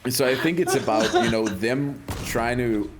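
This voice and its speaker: A man's voice: medium pitch, normal speed, heavy background noise. A man from Denmark with a Danish accent, hosting a live game stream in a brash, profane, joking way. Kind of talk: casual live-stream chat.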